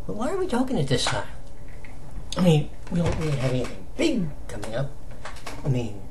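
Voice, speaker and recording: An American man giving casual fan news commentary as a solo video host, in a halting, conversational manner with rhetorical questions. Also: a little high. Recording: noticeable background noise.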